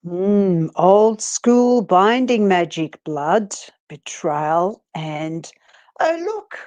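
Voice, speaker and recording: A woman voicing a sardonic female psychic investigator in an amateur live reading of a gothic romance, with drawn-out deadpan sarcasm. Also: lowish voice, lo-fi sound. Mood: intrigued. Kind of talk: amateur dramatic live read.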